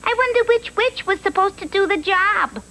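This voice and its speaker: An extremely high, lively young maiden, lovelorn and impatient. She is a gushing, whiny cartoon heroine, with music on the old soundtrack. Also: normal speed, full character voice. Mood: fretful. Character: lovelorn, impatient, dainty-acting fairy tale maiden. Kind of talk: comic cartoon voice acting.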